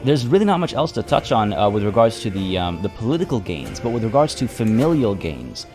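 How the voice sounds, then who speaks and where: Brief: male streamer, lowish voice, slightly hoarse, chatty and friendly with chat, soft music underneath. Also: sing-song, fairly quick. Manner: chatty, greets chat viewers by name. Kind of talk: casual live-stream game commentary. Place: home room by a window.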